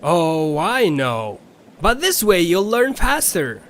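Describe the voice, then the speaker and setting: A man's voice: high-pitched, very animated, takes it slowly, fairly bright tone, a little hiss or hum, heavily acted. A man voicing a sly, folksy riverboat captain with a flowery, drawling, theatrical manner. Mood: teasing.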